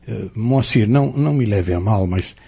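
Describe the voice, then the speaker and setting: An actor playing an earnest, patient spiritual mentor in an audio drama, courteous and explanatory. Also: male voice, lowish voice, moderate pace, vocal fry, mellow, slightly rough, dull audio. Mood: courteous, serious. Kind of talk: audio drama dialogue, didactic.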